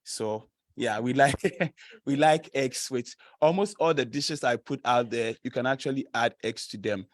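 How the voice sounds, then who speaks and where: A young Ghanaian man gives a casual student presentation in a close, dry-sounding space. His voice sits at an ordinary middle pitch. He chats easily, laughs often and fills pauses with "uh". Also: no echo. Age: young adult. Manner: chatty, laughs often, many fillers. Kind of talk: informal presentation to an audience.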